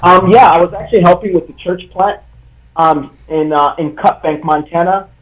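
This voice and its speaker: A young man from Congo, a native French speaker, talking as a guest author on a video call, earnest and halting. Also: high-pitched. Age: young adult. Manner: earnest, halting, many fillers and restarts. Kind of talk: live online interview. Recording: tinny video call line.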